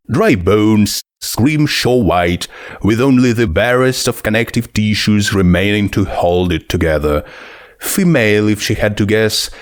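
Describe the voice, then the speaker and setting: A man's voice: on the low side, no echo, clean studio sound. A man reading a scripted story aloud as narrator. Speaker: narrator of a fan fiction reading.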